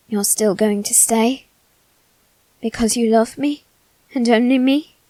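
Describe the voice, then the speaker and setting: Woman doing a posh-born teen boy, clingy and wounded, asmr roleplay, flat tone, close dry sound, long pauses. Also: on the high side, no room sound, poor audio. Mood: anxious, needy. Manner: slow, halting, measured delivery with long pauses. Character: wounded, possessive teenage boy aristocrat bound by demon contract. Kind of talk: ASMR character roleplay, scripted drama.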